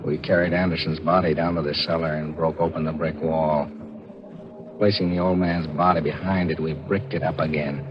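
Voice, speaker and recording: A man's voice: rumbling low, little pitch movement, normal speed, heavy background noise. An actor playing a scheming, nervous forger and killer who tells his crime in clipped hardboiled style, in 1940s radio thriller acting. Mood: matter-of-fact. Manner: confessional, clipped hardboiled narration. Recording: muffled vintage radio recording, music behind.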